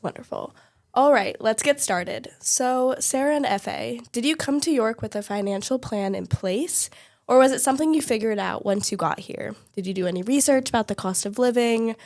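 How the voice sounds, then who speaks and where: A young woman from the United States hosting a student podcast as a steady, friendly interviewer. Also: on the high side, moderate pace. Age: young adult. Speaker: podcast host.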